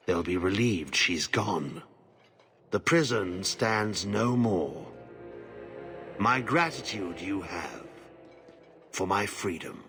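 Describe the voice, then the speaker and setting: An actor playing a weary old male warden in a fantasy game, with a raspy voice and a measured, formal manner. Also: lowish voice, fairly bright tone, full character voice. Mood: calm, formal. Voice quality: very husky, raspy. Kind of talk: fantasy game cutscene acting.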